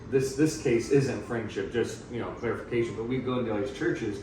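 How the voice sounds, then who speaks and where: A man's voice: mid-range voice, brisk. A man in his thirties who grew up in Thailand, chatting as a missionary guest with lots of fillers. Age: 30s. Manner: relaxed, rambling with frequent fillers. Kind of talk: casual storytelling. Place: room in Thailand. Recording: echoey room, distant mic, noisy.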